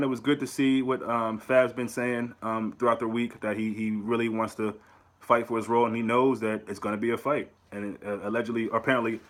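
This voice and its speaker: An American man hosting his own fan channel, talking in a casual, animated way to his viewers, often repeating words and pushing up into rhetorical questions. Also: medium pitch. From United States. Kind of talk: casual solo commentary to camera.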